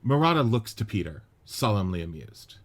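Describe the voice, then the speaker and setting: A man's voice: fairly low pitch, big pitch swings, normal speed. A man giving scripted narration of stage directions and scene headings for a radio-play reading.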